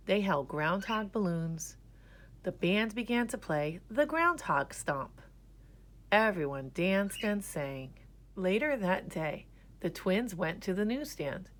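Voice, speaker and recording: A woman's voice: lowish voice, fairly bright tone. A woman reading a children's picture book aloud as a storyteller. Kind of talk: scripted children's story narration.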